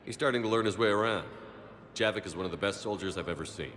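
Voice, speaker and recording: Guy doing calm military commander in a game, low voice, talks fast, no fuss. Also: slight background noise, lightly acted. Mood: steady, businesslike. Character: composed male military commander. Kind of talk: game voice acting.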